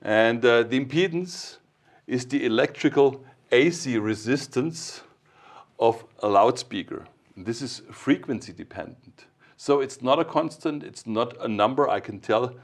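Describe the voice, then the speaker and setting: A man with a mid-pitched voice speaks as a technical expert explaining things informally. His phrasing is halting, built up step by step in short pieces.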